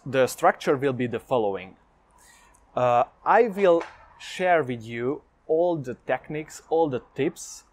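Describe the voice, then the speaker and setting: A young Hungarian man with a Hungarian accent, talking straight to camera in an upbeat vlog about learning a language, speaking energetically and gesturing as he goes, recorded on a lapel mic indoors. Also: mid-range voice. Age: young adult. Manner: energetic, talks with his hands. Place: indoors against a plain light wall. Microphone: lapel mic, medium camera framing.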